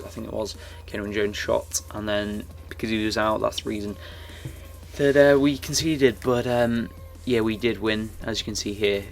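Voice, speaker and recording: A young man talks through his football video game match in a loose, rambling way, full of fillers and restarts. His voice sits low and stays fairly flat, with a croaky, gravelly edge. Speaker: gaming YouTuber. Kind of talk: casual game commentary.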